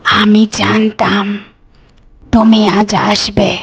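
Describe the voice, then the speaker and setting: A woman acts out an eerie old village grandmother from a horror cartoon. Her voice is very rough and breathy, and she draws out each phrase with slow, insistent coaxing and little variation in pitch. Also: little pitch movement, dull audio, full character voice. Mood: eerily coaxing.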